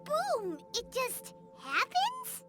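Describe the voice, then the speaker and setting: Super high squeaky little sidekick creature, nosy, excitable, game music loud behind. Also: female voice, very slow, exaggerated voice. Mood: chirpy. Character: tiny chirpy floating girlish companion creature. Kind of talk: video game voice acting.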